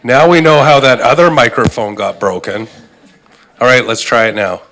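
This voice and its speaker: A man chairing a regional board meeting, making brisk procedural calls with some light joking, on a desk microphone in a boardroom. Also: medium pitch, a bit bright, rough recording. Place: council boardroom.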